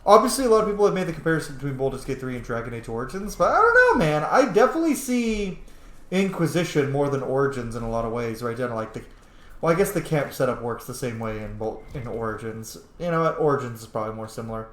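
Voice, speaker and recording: A man doing casual gameplay commentary in an excitable, conversational, sweary way. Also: medium pitch, quick pace, echoey room. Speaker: gaming video host.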